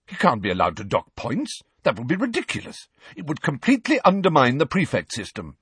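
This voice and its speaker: A man's voice: mid-range voice, sing-song, normal speed, slightly rough, no room sound, voice pushed up. A confident teenage prefect from a storybook speaks in an earnest, raised voice, read by a man. He sounds proper and certain of the rules. The recording is close and dry. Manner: confident, conspiratorial.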